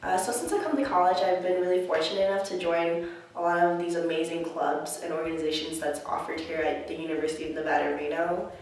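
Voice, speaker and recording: A woman's voice: on the low side, fairly even intonation, fast, darkish tone, soft background music. A young woman from the United States answering interview questions about her life as a college student, reflective and earnest, with plenty of filler words. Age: young adult. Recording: echoey room, mic at a distance.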